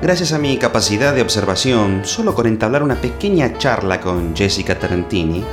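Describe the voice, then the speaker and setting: An actor playing a naive, self-important amateur detective in a comic radio play, deadpan and theatrical, with an Argentine accent. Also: male voice, lowish voice, brisk, music in the background, lightly acted. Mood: blunt, smug.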